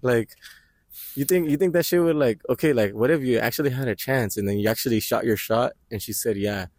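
Young dude, loud voice, slangy and laughing a lot, dry close sound. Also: male voice, medium pitch, fairly quick, no echo. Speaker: podcast co-host. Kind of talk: casual chat. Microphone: portable mic.

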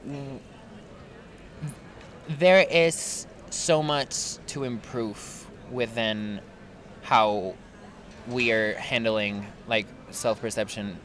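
A man's voice: medium pitch, drawn-out words, pauses often, a bit bright. A man in his twenties talking frankly and laughing often as a podcast guest outdoors in a park. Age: 20s. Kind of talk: casual podcast chat.

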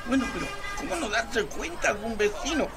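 Man acting high-voiced, excitable old Chilean grandfather. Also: a little fast. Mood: alarmed, cautious. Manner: exclamatory, repeats himself. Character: elderly husband and grandfather, excitable, tender, devout. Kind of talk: radio drama acting.

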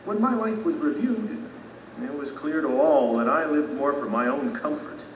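An actor playing a humble, remorseful citizen in an audio drama, earnest and confessional, in an echoing hall. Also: male voice, on the high side, far from the mic, a little hiss or hum, lightly acted. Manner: earnest, confessional, thoughtful pauses. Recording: echoey hall, music behind.

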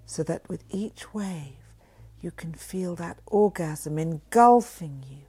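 A woman reading a sensual guided meditation in a breathy voice that often drops into a whisper. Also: lowish voice, expressive, slow. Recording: voice over soft background music. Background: music.

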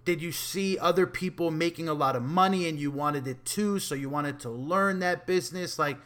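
Bright-voiced, curious male interviewer. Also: on the high side, normal speed. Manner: encouraging, curious. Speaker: interviewer, host. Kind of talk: casual conversational interview.

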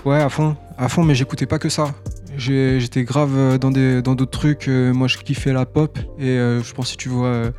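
A man talks casually as an interview guest. His mid-pitched voice stays fairly even, and his phrasing is slangy and self-correcting. Soft music sits faintly behind. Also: normal speed. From born in Qatar, lived in Tunisia, grew up in France from age six. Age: 20s. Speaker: rap artist being interviewed.

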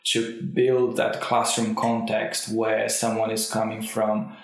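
Man, croaky and fairly low, measured and hesitant, very echoey room. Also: monotone. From Brazil. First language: Portuguese. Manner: thoughtful, hesitant with frequent ums. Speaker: language school director. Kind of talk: casual interview. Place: language school.

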